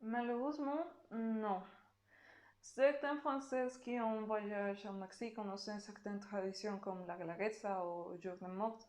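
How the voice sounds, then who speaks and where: A woman from Mexico, a native Spanish speaker with a Mexican Spanish accent, answering interview questions slowly and carefully. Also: medium pitch, a little mellow, roomy sound. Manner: slow, careful speech with pauses.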